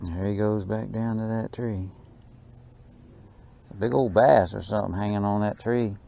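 A man giving excited running commentary while fishing from a small boat on a lake, recorded on a boat-mounted action camera. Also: lowish voice, normal speed, vocal fry. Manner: excited, drawling exclamations and mutters to the fish. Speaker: fishing channel host. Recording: dull action-camera audio with faint noise.

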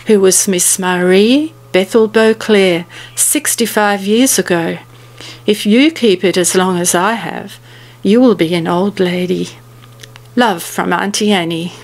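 A woman's voice: mid-range voice, poor audio. A woman in her seventies reading a prepared commemorative speech aloud in a formal, carefully worded manner. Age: 70s. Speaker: speaker giving a commemorative speech.

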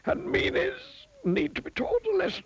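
A high, wordy storybook wizard. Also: male voice, wildly swooping pitch, moderate pace, creaky, dry recording, muffled recording, heavily acted. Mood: pompous, stern. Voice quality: high, fluttery, long-winded. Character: wordy, pompous old male wizard.

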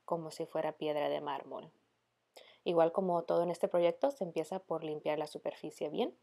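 Low, mellow woman narrating DIY. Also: creaky. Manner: casual, mixes in English words. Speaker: DIY home vlog host. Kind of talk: casual narration of a DIY makeover.

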